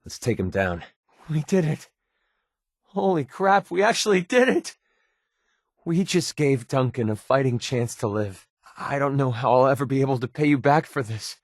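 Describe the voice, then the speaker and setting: A man's voice: medium pitch, lively intonation, normal speed, no echo, studio quality. An actor playing a sardonic young male mercenary in a video game, with an American accent and a casual, grumbling way of talking. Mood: elated, then earnest. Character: wry young male mercenary sniper in a post-apocalyptic wasteland. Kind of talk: video game companion dialogue.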